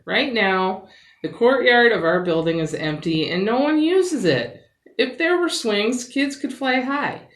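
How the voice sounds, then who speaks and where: A woman voicing an eager, hopeful young girl in a storybook, earnest and excitable. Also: medium pitch, recorded from a distance. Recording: roomy, echoing sound.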